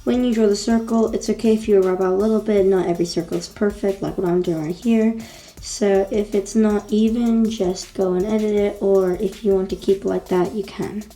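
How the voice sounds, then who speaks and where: Croaky woman teaching drawing. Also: medium pitch, steady tone, fairly quick, dark tone, soft background music. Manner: chatty, repeats instructions with fillers. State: croaky, losing her voice. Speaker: drawing tutorial host. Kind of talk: casual step-by-step drawing tutorial.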